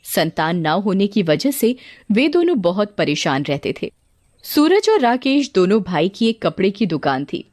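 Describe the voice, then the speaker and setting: A woman's voice: mid-range voice, sing-song, measured pace, a little mellow, no echo, clean studio sound. A woman reading a scripted story narration for children.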